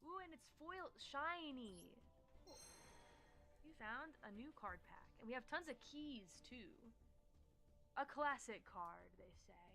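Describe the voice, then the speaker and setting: A woman's voice: high voice, animated, takes it slowly, long pauses, pushed and bright. A woman giving casual, chatty commentary as she plays a game. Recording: faint music under the voice. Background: music.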